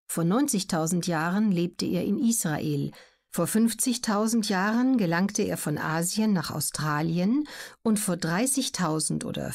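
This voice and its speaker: A woman reading scripted documentary audiobook narration in a measured, factual manner. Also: lowish voice, darkish tone.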